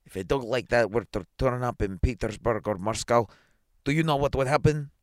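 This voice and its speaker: A man's voice: medium pitch, moderate pace, dry recording, studio quality, natural voice. A man voicing a stern, pompous police superintendent in a story reading, barking orders and questions. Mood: dismissive. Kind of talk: dramatised short story reading.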